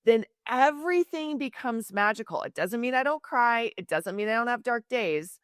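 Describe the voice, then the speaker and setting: A woman's voice: on the high side, animated, normal speed, smooth, no room sound, clean studio sound. A woman hosting a podcast interview, talking in an earnest, emphatic and reflective way. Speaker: podcast host. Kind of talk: conversational interview.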